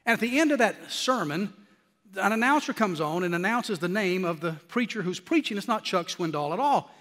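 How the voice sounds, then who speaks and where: A middle-aged male preacher with a high, nasally and slightly scratchy voice. He talks in an animated way and climbs to emphatic peaks. His voice rings out with a long reverb in a church hall. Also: brisk. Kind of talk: sermon.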